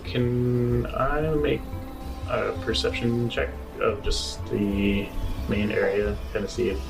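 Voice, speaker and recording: A man's voice: lowish voice, little pitch movement, slow pace, gravelly, distant mic, music in the background, low-quality recording. A man playing a tabletop game, talking casually to the game master.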